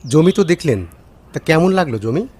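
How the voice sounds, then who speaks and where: A man's voice: on the high side, big pitch swings, moderate pace, darkish tone. A man playing an ingratiating, hospitable landowner in a radio drama. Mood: obliging. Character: obliging male landowner keen to sell. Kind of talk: Bengali radio drama acting. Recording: slightly noisy with birdsong.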